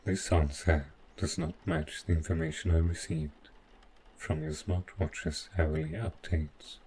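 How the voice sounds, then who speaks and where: A man playing a devoted, overprotective robot butler in a scripted audio roleplay, speaking in formal, measured, literal phrases. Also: extremely low pitch, steady tone, a little mellow, slight background noise. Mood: formal, caring.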